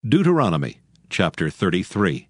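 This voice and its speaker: A man's voice: low voice, huge pitch swings, moderate pace, no echo, clean studio sound. A man narrating scripture from a script in a dramatized audio production.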